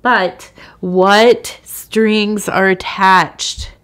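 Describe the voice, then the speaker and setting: A woman with a congested cold giving a soft-spoken, meandering tea leaf reading, with frequent fillers. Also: medium pitch, fairly even intonation. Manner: slow, meandering, with many fillers and soft laughs. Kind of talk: soft-spoken ASMR tarot-style reading.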